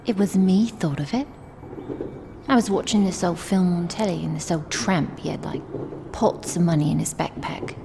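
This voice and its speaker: Woman doing a cocky london street punk girl, insolent and slangy, gravelly lowish voice, noisy recording. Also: normal speed. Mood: grumbling, scheming. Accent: British, London. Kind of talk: comic dub acting. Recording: clearly noisy background.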